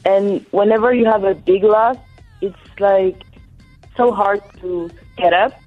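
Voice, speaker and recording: A young woman from France answering interview questions in English, soft-spoken and halting, with pauses. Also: mid-range voice, soft background music. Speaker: guest, athlete. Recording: tinny phone-like line.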